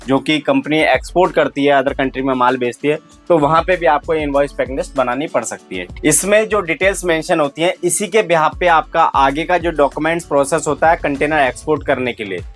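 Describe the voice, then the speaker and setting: A man's voice: on the high side, a little fast, hardly any pauses, a bit bright, soft background music. A man with an Indian accent giving a step-by-step instructional tutorial as a trainer, dropping English trade terms into his explanations. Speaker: freight forwarding trainer.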